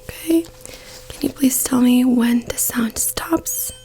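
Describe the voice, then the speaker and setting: A woman doing a soft-spoken ASMR doctor roleplay in a breathy whisper, gently giving reassuring instructions close to the mic. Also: fairly high pitch, slow, rough recording. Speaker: ASMR performer playing a doctor.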